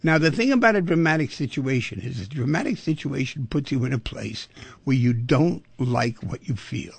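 A man hosting a talk radio show, talking in an animated way and asking rhetorical questions. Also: mid-range voice, moderate pace, creaky, husky, dry recording.

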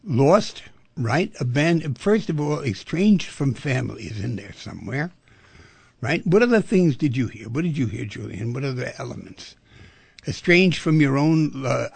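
A man hosting a talk radio show, talking in an animated way and asking rhetorical questions. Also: medium pitch, normal speed, creaky, slightly rough, no room sound.

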